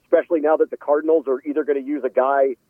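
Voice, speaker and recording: A man's voice: a little high, level tone, racing, no echo. A man speaking as a guest sports announcer in a casual radio interview, over a thin phone-like line.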